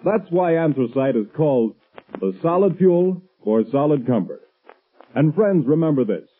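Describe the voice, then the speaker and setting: A man's voice: a little high, animated, normal speed. An American man announcing a 1930s radio commercial in a formal, emphatic sales manner. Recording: muffled old 1930s radio recording.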